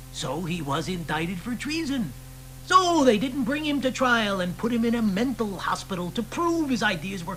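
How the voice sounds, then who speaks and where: An actor playing a provocative, wry poet who needles the people around him. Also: male voice, high voice, a bit bright, a little hiss or hum, low-quality recording. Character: provocative male poet of the protest era. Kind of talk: radio drama acting.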